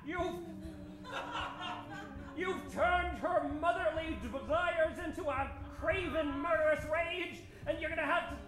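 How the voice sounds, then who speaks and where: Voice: extremely high pitch, a little slow, reverberant, over music, low-quality recording. A performer playing a smug, wealthy, pompous adventurer doctor in a comic old-time radio play, melodramatic and repetitive. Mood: indignant. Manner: pompous, melodramatic, repeats himself.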